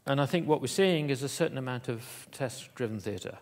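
A man giving a conference keynote in an auditorium, talking in a halting way with many fillers and restarts. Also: medium pitch, lively intonation. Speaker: keynote speaker.

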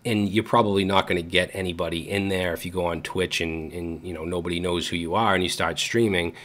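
A man in his thirties with a Boston accent, talking casually to camera from his home studio, relaxed with frequent fillers and restarts. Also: deep voice, fairly quick. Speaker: YouTube coding educator. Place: home studio room with gaming chair.

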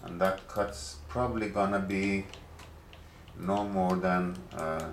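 A man giving a casual workshop demonstration, talking in a relaxed, halting way with many filler pauses, recorded on a camera mic in a home workshop. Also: deep voice. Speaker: hobbyist machinist. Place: home workshop with running lathe. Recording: loud machine noise behind.

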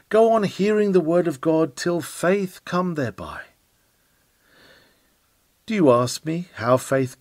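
A man reads a sermon in a fairly high voice that swings expressively up and down. He is earnest and emphatic, setting out each phrase like an orator. The sound is dry, with no room around it. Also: no room sound. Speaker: reader of a historic sermon. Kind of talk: sermon read aloud, oratorical.

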